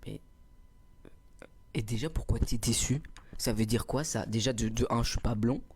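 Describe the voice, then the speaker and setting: A man's voice: mid-range voice, moderate pace, a little mellow, husky. Playful young man chatting on a livestream. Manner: playful, teasing, self-mocking storyteller. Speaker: livestreamer reading chat comments. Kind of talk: casual livestream chat. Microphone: cheap small clip microphone.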